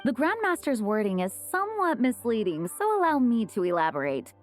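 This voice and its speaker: Prim, animated, high-voiced young knight. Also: female voice, brisk, soft background music. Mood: composed, explanatory. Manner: formal, explanatory. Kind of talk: anime game voice acting.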